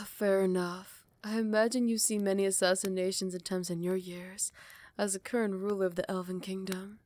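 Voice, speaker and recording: A woman voicing a proud vampire royal smitten with a rival, in soft, formal, measured speech that often slips toward a whisper, for a romantic ASMR roleplay. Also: medium pitch, level tone, lightly acted. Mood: earnest, admiring. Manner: formal, archaic, measured courtly phrasing. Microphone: close microphone, dry.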